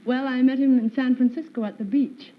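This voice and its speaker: A woman's voice: high-pitched, steady tone, brisk. Young woman in her twenties, polite and chatty. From Fort Wayne, Indiana. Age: 20s. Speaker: game show contestant. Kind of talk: unscripted chat. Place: television studio stage. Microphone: stand mic on stage. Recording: dull 1950s TV sound with faint hiss.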